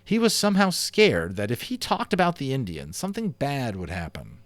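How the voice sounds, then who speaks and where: Expressive man reading a children's novel aloud. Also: moderate pace, no room sound. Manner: expressive storytelling, dropping low and soft at grim moments. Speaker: amateur voice actor and audiobook reader. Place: home.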